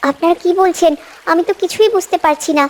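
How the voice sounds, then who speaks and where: A woman's voice: extremely high pitch, quick pace. A woman voicing a brave little cartoon girl. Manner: earnest, pleading, bold. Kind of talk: cartoon voice acting.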